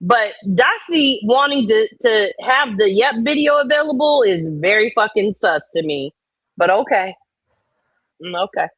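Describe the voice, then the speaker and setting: A woman's voice: mid-range voice, lively intonation, a little slow, fairly bright tone, breathy edge, no room sound. A woman co-host giving casual commentary, reading comments out dramatically and reacting bluntly, with exasperation and free swearing.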